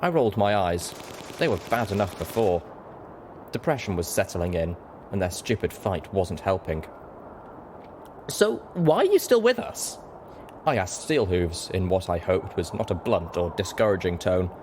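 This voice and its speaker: A man's voice: low-pitched, normal speed, background noise. A man reading first-person fiction aloud as scripted audiobook narration. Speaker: audiobook reader.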